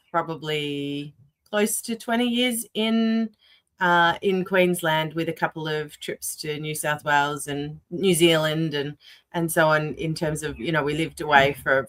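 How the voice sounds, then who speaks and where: A middle-aged Australian woman with a fairly low voice, speaking as a podcast guest. She talks about herself in a candid, reflective, conversational way. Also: a little slow, a bit bright. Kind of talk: conversational interview.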